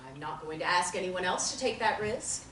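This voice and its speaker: A bright, forceful actress's voice as a protective female therapist in a stage drama reading. She is calm and firm, choosing formal, measured words. The sound is roomy and distant from the mic. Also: on the low side, a little hiss or hum. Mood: firm, reassuring. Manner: formal, measured wording with few contractions. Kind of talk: dramatic table reading of a play.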